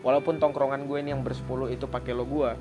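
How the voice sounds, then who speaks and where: A man's voice: medium pitch, racing, over music. A young man from Papua co-hosting a casual podcast, talking in slangy street speech. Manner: slangy, animated when mimicking accents. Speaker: podcast co-host. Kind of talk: casual chat.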